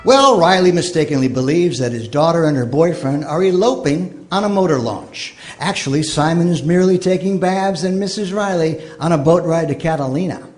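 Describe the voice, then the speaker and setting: A man's voice: a little high, moderate pace, hardly any pauses, faint music behind. A man announcing in old-time radio style, reading scripted sponsor copy and story narration.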